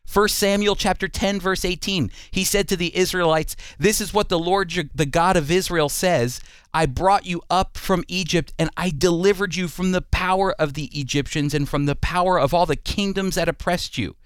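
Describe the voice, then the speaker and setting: A man hosting a radio Bible program, teaching and reading scripture in an emphatic, deliberate way with rhetorical questions. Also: sits high, fairly bright tone, clean tone, no room sound.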